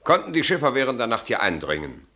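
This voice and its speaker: An actor playing a brusque army captain in a German radio play, questioning curtly and with authority. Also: male voice, mid-range voice, wildly swooping pitch, quick pace, sharp tone, full character voice. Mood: probing, stern. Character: brusque, commanding army captain interrogating witnesses.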